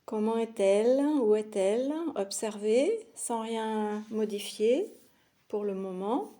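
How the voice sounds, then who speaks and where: A woman teaching yoga nidra, guiding a meditation in a soothing, unhurried manner with long pauses between short phrases. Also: mid-range voice, lively intonation, dark tone. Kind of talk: guided relaxation meditation.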